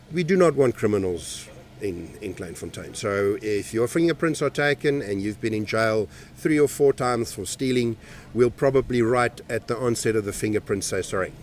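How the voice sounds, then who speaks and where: A man's voice: lowish voice, expressive, moderate pace. Guy explaining things in an interview, voice goes up and down a lot. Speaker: community representative.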